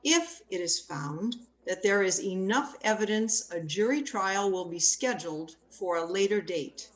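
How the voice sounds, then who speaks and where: A woman acting as a stern courtroom judge with a medium-pitched voice. She speaks in formal, deliberate, authoritative sentences, as in a scripted radio drama. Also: lively intonation, lightly acted. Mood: formal, firm.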